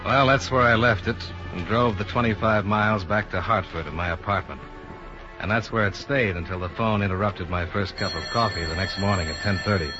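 A man's voice: fairly low pitch, brisk, loud music behind the voice, lightly acted. A man playing a blunt, cool-headed insurance investigator in a 1950s radio detective drama, with dry, clipped delivery. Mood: dry narrating. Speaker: lead actor. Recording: dull, noisy 1950s radio recording.

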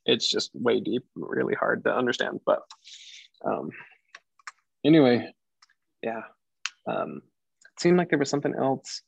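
A man leading a casual online discussion group, soft-spoken and relaxed, laughing often as he wraps up over a video call. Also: medium pitch, a little slow, vocal fry, pauses often, slightly rough, no room sound.

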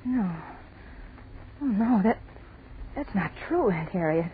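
A woman's voice: medium pitch, expressive, takes it slowly. An actress playing a terrified, hysterical young woman, breathless and pleading, in a 1940s radio horror drama. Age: young adult. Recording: muffled, noisy 1940s radio recording.

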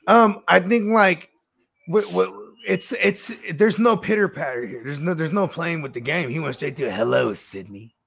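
A man's voice: high-pitched, normal speed, creaky, fairly bright tone, breathy edge. A man co-hosting a podcast, chatting casually about a movie in a joking, slangy way. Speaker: podcast co-host. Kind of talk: casual movie review chat.

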